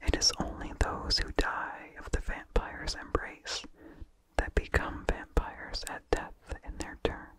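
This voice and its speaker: ASMR reader: unvoiced breathy whisper, very slow and deliberate, hushed close-mic delivery. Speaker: ASMR host reading a vintage horror story. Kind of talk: whispered ASMR story reading. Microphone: close microphone with incidental mouth sounds.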